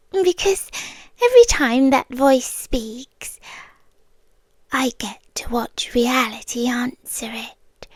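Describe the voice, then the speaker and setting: A woman with a British accent playing a possessive, softly menacing yandere girlfriend in a hushed, intimate ASMR roleplay, close to the microphone. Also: on the high side, no room sound. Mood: taunting, mock admiring. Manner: hushed, often whispering, deliberate pauses.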